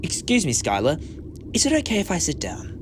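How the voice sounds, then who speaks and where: Man playing an earnest, higher-voiced sci-fi hero. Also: huge pitch swings, a little fast, noisy background. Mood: gentle, reassuring. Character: earnest, kindly but urgent alien traveller hero. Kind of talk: fan audio drama acting.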